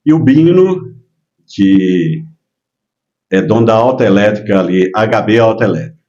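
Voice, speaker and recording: A man's voice: lowish voice, normal speed, dull audio. Chatty man in his sixties. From Minas Gerais, Brazil. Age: 60s. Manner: relaxed storytelling with frequent hesitations. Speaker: guest, local businessman. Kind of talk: casual interview chat.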